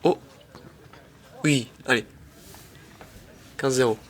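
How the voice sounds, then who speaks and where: A young man with a head cold, casually commenting on his own tennis match in slangy, excitable talk to his viewers. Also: mid-range voice, very slow, slight background noise. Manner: slangy, excitable, talks to viewers as "les mecs".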